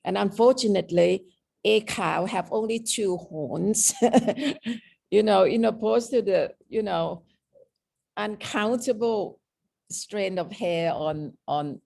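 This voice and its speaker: A woman's voice: mid-range voice, slow, creaky, warm tone. A woman with a mixed Thai and British accent, teaching a lecture on meditation and often checking in with "okay?". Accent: Thai and British mix. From Thailand. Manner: explaining with frequent "okay?" checks.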